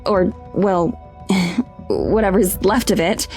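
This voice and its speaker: Unsettled young heroine: mid-pitched, sincere, hesitant, conversational visual novel delivery over music. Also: female voice, lively intonation, slow, darkish tone. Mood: awkward gratitude. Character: earnest, unsettled young woman, newly rescued.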